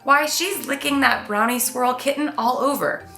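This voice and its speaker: A woman voicing an orphan baby kitten who plays a pompous, excitable safari guide in a children's storybook. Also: high voice, moderate pace, gravelly, echoey room, soft background music, exaggerated voice. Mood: excited. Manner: theatrical tour-guide patter, exclaiming.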